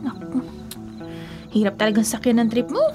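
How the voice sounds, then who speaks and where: An actress playing an exasperated, teasing younger sister in a Filipino radio drama, scoffing at old superstitions in a sassy, conversational way. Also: female voice, on the high side, very animated, normal speed, music in the background.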